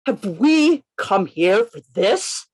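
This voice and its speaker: Woman playing a bold, animated gentleman detective, dry sound. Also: medium pitch, no room sound. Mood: defiant oratory. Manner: declamatory, emphatic, deliberate phrasing. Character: bold, defiant male undercover detective posing as anarchist. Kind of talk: amateur radio play reading. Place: indoors, on a video call. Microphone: video call.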